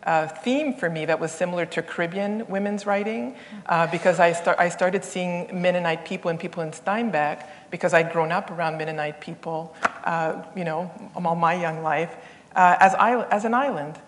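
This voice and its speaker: A woman from Haiti, raised in Canada, hosts a conversation on stage. She speaks thoughtfully and haltingly, with many fillers. Her voice is very low, and it has a long reverb from a large hall. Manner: thoughtful, hesitant, frequent restarts and fillers. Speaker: event host and interviewer. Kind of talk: onstage conversation. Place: theater stage, seated in armchair.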